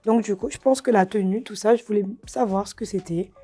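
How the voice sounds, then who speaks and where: A woman, answering interview questions casually and hesitantly, with many fillers and soft laughs. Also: mid-range voice, brisk. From Réunion. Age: 30s. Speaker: karate champion guest.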